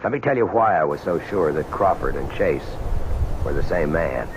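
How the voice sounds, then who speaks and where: An actor playing a dogged police lieutenant in an old radio drama, talking in a patient, measured way. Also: male voice, animated, background noise. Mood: recounting. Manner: patient, low-key persuasion, measured pauses. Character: dogged male police lieutenant, calm and persistent. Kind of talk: 1970s radio drama acting.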